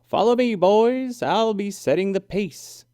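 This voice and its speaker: Man voicing a cocky, high-pitched young animal racer. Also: a little slow, dark tone, clean tone, no echo, clean studio sound. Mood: cocky, taunting. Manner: bragging, taunting.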